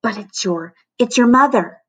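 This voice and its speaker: A woman voicing a wobbly, swooning female school librarian in a weak, sighing, halting manner. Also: on the high side, animated, fairly bright tone, slightly rough. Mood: faint, wobbly.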